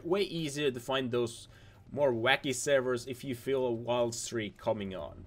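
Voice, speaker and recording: A young man, a little hoarse from losing his voice, giving casual rambling commentary over game footage, repeating himself and restarting words. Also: medium pitch. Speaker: gaming video host.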